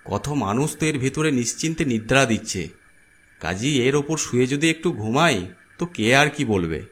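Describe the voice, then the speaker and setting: A man voicing a timid, henpecked gentleman in a horror cartoon, with a plaintive, meek manner. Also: medium pitch, animated, normal speed. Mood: despairing, brooding. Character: miserable, timid, henpecked jobless Bengali gentleman. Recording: background music under voice.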